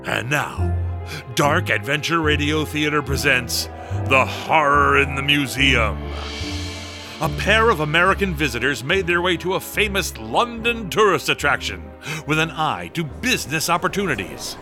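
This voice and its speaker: A man's voice: fairly high pitch, animated, moderate pace, music in the background, natural voice. An actor playing a grandiose man announcing and narrating in a dramatic 1930s radio style. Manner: dramatic, emphatic. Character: grandiose male old-time radio announcer.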